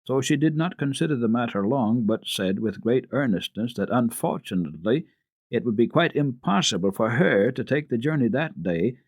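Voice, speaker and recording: Guy reading a classic kids book for radio, measured n expressive, medium pitch, really dry sound. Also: no echo. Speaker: storyteller and radio host. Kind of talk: dramatic reading of children's classic.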